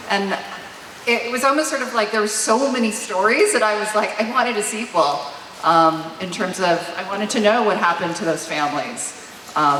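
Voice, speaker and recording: A woman, a history teacher, asking an earnest, halting question from the audience at a panel. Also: lowish voice, fairly bright tone, a little hiss or hum. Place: auditorium panel event. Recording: roomy hall, mic at a distance.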